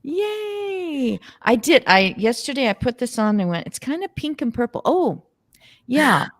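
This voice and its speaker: A woman's voice: high-pitched, big pitch swings, measured pace, no echo, studio quality. A woman hosting an unscripted group chat on a video call in a playful, exclamatory manner. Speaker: host.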